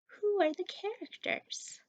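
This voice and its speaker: A woman leading story time and a lesson for young children, encouraging and exaggerated, pausing as if for their answers. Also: very high-pitched. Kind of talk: read-aloud and interactive lesson for young children. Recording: dull, distant microphone sound.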